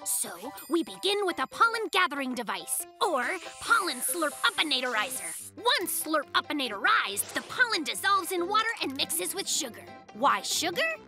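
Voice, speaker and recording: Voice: extremely high pitch, sing-song, moderate pace, few pauses, sharp tone, soft background music, full character voice. An actor voicing a cocky, playful, inventive young bear cub in a children's cartoon, exclaiming and using silly invented words. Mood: busy, self-satisfied.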